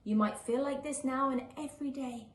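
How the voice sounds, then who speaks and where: A woman's voice: high-pitched, moderate pace, recorded from a distance, close to an everyday voice. Woman reading as loyal young friend, echoey. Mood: earnest, reassuring. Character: caring, loyal young friend promising support. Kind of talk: children's storybook reading. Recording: echoey room with faint background noise.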